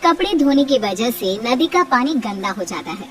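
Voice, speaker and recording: A woman's voice: fairly high pitch, big pitch swings, moderate pace. A woman narrator reading a scripted children's spooky story. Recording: slightly distant voice under clear music. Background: background music.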